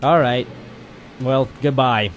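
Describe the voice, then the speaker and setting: A man's voice: medium pitch, takes it slowly, muffled recording, lightly acted. A man playing a weary, wry private detective, narrating and talking tersely in a noir radio drama. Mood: wry, matter-of-fact. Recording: music playing behind the voice.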